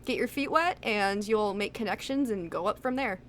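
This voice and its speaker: A woman's voice: mid-range voice, normal speed, a bit bright, slight background noise. A woman chatting casually as an interviewed voice actress, emphatic, blunt and encouraging in how she talks.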